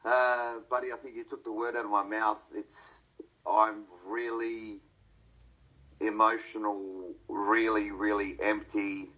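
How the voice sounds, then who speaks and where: A man with an Australian accent talking casually on a podcast panel, emotional, heartfelt and halting, with a husky voice. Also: on the low side, flat delivery, pushed and bright, lo-fi sound.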